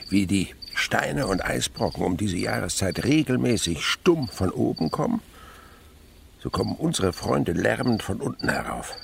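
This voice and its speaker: A man's voice: fairly low pitch, very animated, a little slow, creaky, background noise, full character voice. An actor playing an elderly insect researcher, an eager and wistful uncle, in a German radio drama, dramatic and savouring his words. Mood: awed, excited. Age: older.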